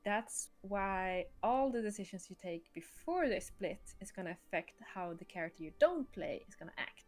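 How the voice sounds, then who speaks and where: A woman's voice: mid-range voice, expressive, moderate pace. A woman hosting a livestream about her own game, explaining casually and searching for words. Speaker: livestream host and game creator.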